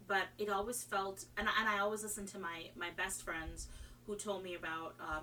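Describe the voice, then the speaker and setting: A woman with a bright, keen voice talks casually and enthusiastically, doubling back on her sentences as she goes. She is from Trinidad and moved to America young. The recording sits a little far from the mic, with faint noise and hum. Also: on the low side. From Trinidad, moved to America at age five. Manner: rambling, enthusiastic, self-interrupting. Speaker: podcast guest. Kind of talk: casual chat about fandom.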